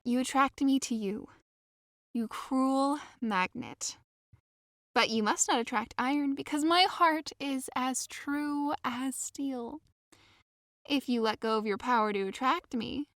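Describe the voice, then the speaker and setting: A devoted, lovesick young woman played by an actress in an audio drama. She pleads with earnest theatrical feeling in a fairly high voice, recorded close with no room sound. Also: slow pace, long pauses, a bit bright, no echo, heavily acted. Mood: adoring.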